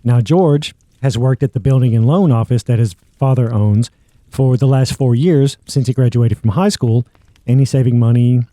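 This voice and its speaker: A man's voice: medium pitch, mellow, no room sound. A man hosting a podcast, narrating a story in a conversational but prepared way. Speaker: podcast host.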